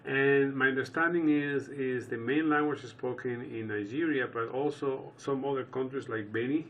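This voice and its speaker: A man hosts a radio interview, asking his questions conversationally and circling back with restarts. His voice sits at an ordinary pitch. He is somewhat off mic, with faint background hiss. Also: normal speed, distant mic, poor audio.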